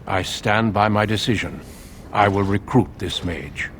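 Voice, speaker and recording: A man playing a calm, resolute warrior recruiter in a fantasy video game, formal and firm. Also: lowish voice, a little slow, slight background noise.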